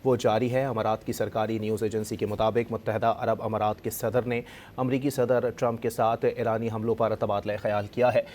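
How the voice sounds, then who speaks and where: A man's voice: lowish voice, darkish tone, slight background noise. A man with a Pakistani accent reading breaking news as an anchor, in a formal, insistent delivery. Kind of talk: breaking news bulletin in Urdu, repeated formally.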